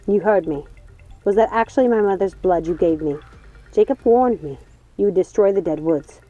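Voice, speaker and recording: A bitter, suspicious woman from a dramatic storybook, performed by a woman. The voice is medium in pitch, firm and accusing, pressing every demand with anger. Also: moderate pace, warm tone, lo-fi sound, soft background music, close to an everyday voice. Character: suspicious, angry woman, a witch's non-magical daughter. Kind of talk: dramatic audiobook character voice.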